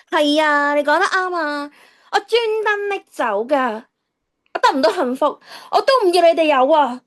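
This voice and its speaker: A woman's voice: high-pitched, expressive, measured pace. A woman playing a cheeky, defiant teenage schoolgirl in an audio drama, with a whiny, dramatic delivery. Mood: hurt, defiant. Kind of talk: Cantonese radio drama acting.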